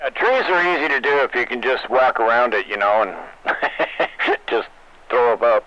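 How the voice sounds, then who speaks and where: A man's voice: medium pitch, lively intonation, normal speed. Man on dull, noisy CB radio. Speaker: CB radio operator chatting. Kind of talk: casual chat.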